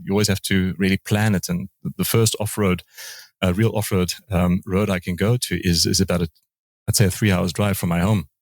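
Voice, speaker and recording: A man in his forties speaks with a deep, low voice, stopping and restarting his sentences often. The sound is dry and close, with no room around it. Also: steady tone, no echo. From Austria, raised in South Africa. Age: 40s. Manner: halting, many restarts and repeated words. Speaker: guest, motorcycle rider. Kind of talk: casual interview conversation.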